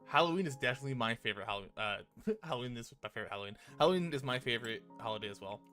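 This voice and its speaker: A male livestreamer talks through a visual novel in a bright, crisp-edged voice. He is informal and casual, slipping between chatty commentary and reading the text aloud, with soft game music playing underneath. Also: medium pitch, animated, very fast. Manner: informal, occasional swearing.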